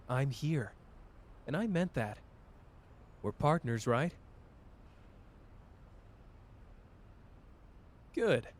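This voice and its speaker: A man's voice: medium pitch, lightly acted. A man playing a fierce, protective young sorcerer who feels betrayed and is in love, in an emotional, intimate anime roleplay addressed to the listener. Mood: resolute, protective. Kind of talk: dramatic ASMR character roleplay.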